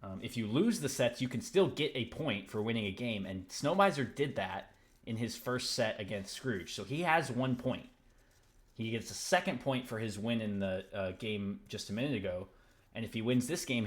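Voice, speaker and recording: A man's voice: lowish voice, expressive, moderate pace. A man commentating a strategy game tournament, explaining the rules at length and chuckling often. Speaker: tournament caster.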